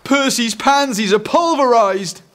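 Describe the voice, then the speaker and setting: A British man voicing a cheeky, guilt-ridden young boy in a children's story, excitable and pleading. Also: high voice, brisk, voice pushed up. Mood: dramatic alarm, excitement.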